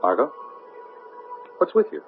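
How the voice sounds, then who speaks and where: A man's voice: unhurried, phone-quality sound, faint music behind, lightly acted. An actor playing an ordinary man under an alien influence in a 1970s radio drama. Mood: puzzled, breezy. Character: Harry, a breezy man being taken over by an alien. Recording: thin band-limited 1970s radio broadcast.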